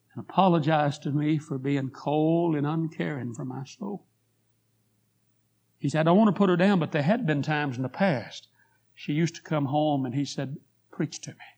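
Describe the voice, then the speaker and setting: A hoarse man preaching a revival sermon in a church, telling a story and building to fervent appeals. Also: a little high, highs cut off. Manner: rising to fervent pleas, then quiet prayer. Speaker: guest preacher. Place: church sanctuary.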